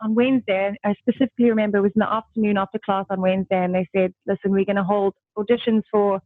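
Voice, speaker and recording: A woman's voice: medium pitch, flat delivery, fast, no room sound. South African woman on a tinny video call. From South Africa, Durban. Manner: chatty, laughs often, many fillers. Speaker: guest. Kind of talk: casual video-call chat. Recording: dry tinny call audio.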